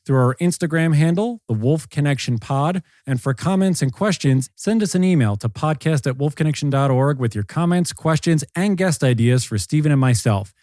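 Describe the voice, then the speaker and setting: A man's voice: fairly high pitch, animated, moderate pace, hardly any pauses, warm tone, no room sound, clean studio sound. A man hosting a podcast in a casual, conversational style. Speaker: podcast host.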